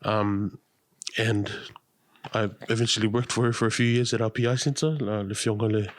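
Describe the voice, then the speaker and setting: A man's voice: on the low side, steady tone, normal speed, dry recording, clean studio sound. A man, chatting casually as a podcast guest in a laid-back, slangy way. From New Zealand. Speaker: podcast guest, radio host. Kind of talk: casual chat.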